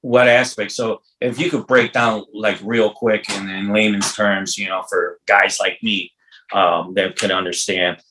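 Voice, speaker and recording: A man in his fifties with a fairly low voice hosts a podcast. He talks in a loose, rambling, conversational way, repeating words as he goes and throwing in casual slang. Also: little pitch movement, unhurried, bright, forceful tone, low-quality recording. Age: 50s. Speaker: podcast host. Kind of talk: casual, rambling interview chat.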